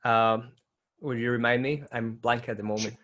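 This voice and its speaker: A man's voice: medium pitch, steady tone, moderate pace, a bit bright. Guest, man with an average voice, explains things in a loose, chatty way. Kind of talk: casual conversation.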